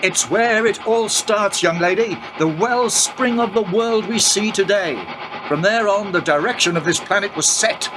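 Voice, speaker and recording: A man's voice: high voice, normal speed, background noise, natural voice. A man playing an eccentric older grandfather inventor in a radio play, speaking in theatrical, old-fashioned formal phrases. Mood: eager. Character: eccentric grandfather inventor, cryptic, eager time-traveller.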